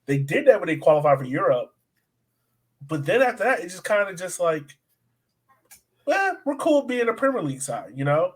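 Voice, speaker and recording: A man's voice: high-pitched, big pitch swings, normal speed, long pauses, slightly rough. A man hosting a sports podcast, chatting informally and repeating phrases for emphasis. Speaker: soccer podcast host. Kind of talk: casual sports discussion.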